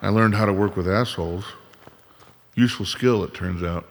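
A sarcastic, skeptical man on the run, played by a male actor in a radio play. His low voice is gravelly and bickering, set in a long, hollow reverb. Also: moderate pace. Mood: bitterly sarcastic. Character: sarcastic, out-of-shape, skeptical grown son on the run.

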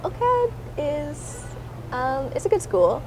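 A woman's voice: sits high, big pitch swings, takes it slowly. A young woman, an art student, answers interview questions casually and hesitantly, with many "like" and "um" fillers. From Toronto area, Canada. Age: young adult. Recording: noisy recording.

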